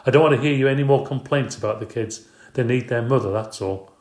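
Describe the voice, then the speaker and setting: A man's voice: medium pitch, fast, a little mellow, reverberant, pitched above the performer's own voice, lightly acted. A man reading aloud, voicing a tired, angry working mother who complains and speaks sarcastically.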